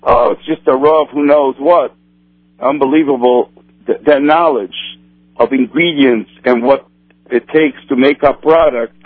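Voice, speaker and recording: Man on a tinny phone line, halting. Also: mid-range voice, dry recording. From Brazil. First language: Portuguese. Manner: halting, many restarts and fillers. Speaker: kosher supervisor rabbi. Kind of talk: radio interview answers.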